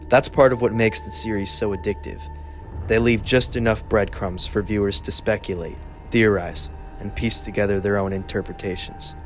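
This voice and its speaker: A man narrating a scripted video essay in a measured, even way. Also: on the low side.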